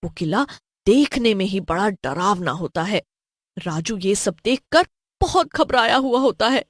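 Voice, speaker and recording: A woman's voice: medium pitch, lively intonation, a little slow, no room sound, clean studio sound. A woman narrating a scripted horror story as a storyteller.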